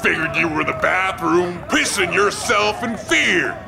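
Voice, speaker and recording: An actor playing a crude, thuggish bully with a coarse, taunting drawl in a comic audio drama. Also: male voice, high voice, expressive, a little slow, gravelly, full character voice. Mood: menacing, taunting. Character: thuggish, crude male bully with a shoe fetish. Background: music.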